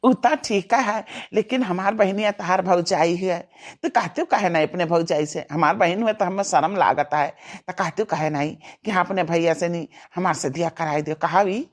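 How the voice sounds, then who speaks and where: A woman plays a bashful young in-law in a cartoon comedy. The voice is on the low side and hesitant, with an Awadhi accent. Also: moderate pace, natural voice.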